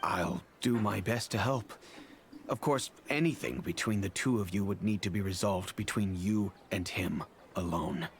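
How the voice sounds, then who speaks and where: An actor playing an earnest, remorseful, wealthy middle-aged father in a video game, speaking in a measured, formal way. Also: fairly low pitch, slight background noise, lightly acted. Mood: helpful. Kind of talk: video game character acting.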